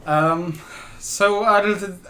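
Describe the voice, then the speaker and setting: A man's voice: high voice, fairly even intonation, takes it slowly, bright, forceful tone, a little hiss or hum. A man playing a tabletop game, improvising casual dialogue with hesitant, stammering repetitions.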